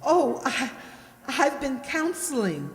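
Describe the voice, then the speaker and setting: Echoey, high-voiced prim schoolteacher played by a woman. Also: big pitch swings, takes it slowly, full character voice. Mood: earnest, fussy. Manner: hesitant, fussy, plaintive. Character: prim, fretful spinster schoolteacher, naive and earnest. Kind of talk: old-time radio detective drama acting. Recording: very reverberant room or hall.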